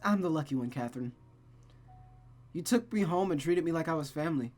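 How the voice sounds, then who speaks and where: A woman voicing a gentle female friend in a visual novel, speaking tenderly and frankly in a measured way. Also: low-pitched, lively intonation, faint music behind.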